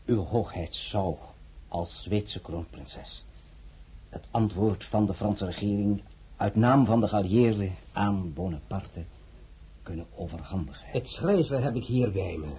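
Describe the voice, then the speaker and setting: A stiff, formal government envoy. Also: male voice, fairly low pitch, measured pace, mellow, breathy edge, heavily acted.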